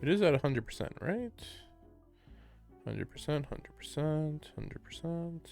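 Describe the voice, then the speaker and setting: A man's voice: on the high side, sing-song, a little slow, vocal fry, a little mellow. Amused, rambling male game streamer. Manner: amused, rambling asides to himself. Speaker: live streamer. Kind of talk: casual gaming livestream commentary. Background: game music.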